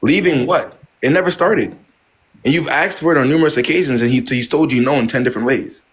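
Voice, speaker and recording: A man in lectures his live stream audience on dating, blunt and preachy. He repeats himself for emphasis and swears freely. His voice sits in an ordinary middle pitch. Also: fast, low-quality recording. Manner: blunt, repetitive, preachy and profane. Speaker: live stream host giving dating advice. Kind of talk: casual live stream advice.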